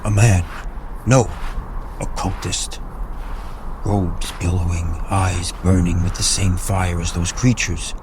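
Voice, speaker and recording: Male actor as battle-hardened captain, haunted, brooding, very slow delivery, theatrical audio drama narration, noisy backdrop. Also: low voice. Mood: grim, tense. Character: haunted, battle-hardened army captain recounting occult horrors.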